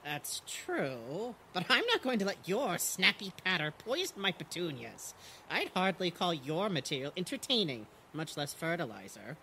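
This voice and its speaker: Guy doing fussy dry witted cartoon bug nerd, prim n sardonic, very expressive pitch, bit of noise. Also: moderate pace, full character voice. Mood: exasperated. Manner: prim, sardonic, precise wording. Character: fussy, dry-witted male puppet gardener and bug expert. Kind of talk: cartoon character voice acting.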